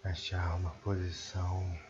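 A man with a Brazilian accent leads a guided sleep meditation in a soothing, hushed manner with long pauses. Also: low-pitched, completely flat delivery, highs cut off. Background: prominent meditation music.

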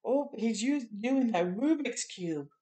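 A woman's voice: fairly high pitch, lively intonation, takes it slowly, warm tone. An older woman doing a children's storytime, reading a picture book aloud and adding encouraging, chatty asides. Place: small room at home, indoors.

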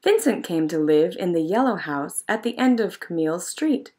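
A woman narrating a children's picture book in an expressive, measured storytelling manner. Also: on the low side. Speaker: storybook reader.

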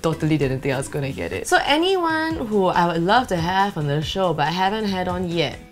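Bubbly Singaporean woman with lively pitch. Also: fairly quick, faint music behind. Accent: Singaporean English. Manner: bubbly, giggly, animated. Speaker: beauty show host. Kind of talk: casual vlog chat. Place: small studio apartment set, indoors. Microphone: off-camera mic.

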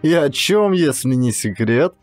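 An earnest, inquisitive male hero from an audiobook thriller, performed by a male narrator. He speaks in a raised, questioning tone. Soft music runs behind the words. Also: medium pitch, expressive, measured pace, no echo, pitched above the performer's own voice, natural voice. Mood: earnest, curious.

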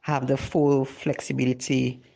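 A man playing a weary, affectionate injured partner in an amateur audio drama, measured and reassuring. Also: medium pitch, flat delivery, creaky, a little mellow, rough recording.

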